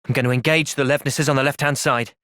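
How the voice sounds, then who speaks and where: An actor with an English accent playing an earnest, gentle young soldier in a video game cutscene, speaking in a measured, sincere way. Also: male voice, medium pitch, fairly even intonation, no echo, clean studio sound, close to an everyday voice. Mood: calm, resolute.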